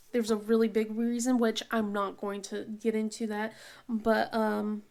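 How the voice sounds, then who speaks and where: A woman chatting casually as she works on a craft project, halting with many ums and restarts, sniffly from a cold. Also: on the high side, gravelly, darkish tone. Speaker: diamond painting vlogger. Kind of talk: casual chat while crafting.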